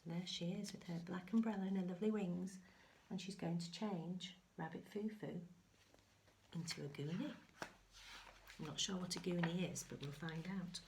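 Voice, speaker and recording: A woman reading a picture book aloud to young children, mixing expressive sing-song lines with hushed chatty asides. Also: on the low side, normal speed, gravelly, a little hiss or hum. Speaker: infant school story reader.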